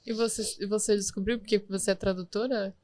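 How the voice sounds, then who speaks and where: A woman's voice: medium pitch, fairly even intonation, fast talker, darkish tone, no echo. Woman host, asks stuff, lots of uhum, dry close mic. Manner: attentive, encouraging, many short affirmations. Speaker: interviewer, host. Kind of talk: interview conversation.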